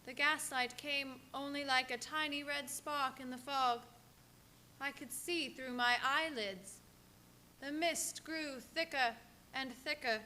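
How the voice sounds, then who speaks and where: A woman's voice: high-pitched, steady tone, slow, pushed and bright, slight background noise, heavily acted. An actress playing a haunted young wife in a radio play, telling her story with dramatic suspense. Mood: eerie, suspenseful. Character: haunted, entranced young wife writing a journal. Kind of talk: live radio play acting.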